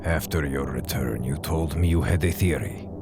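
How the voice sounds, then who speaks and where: An actor playing a cold, ruthless older scientist in an audio drama, speaking formally and deliberately in a gravelly voice. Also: male voice. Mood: grave, resigned. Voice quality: very low, gravelly. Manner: formal, deliberate, clipped phrasing without contractions. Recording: loud music under the voice. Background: dramatic music.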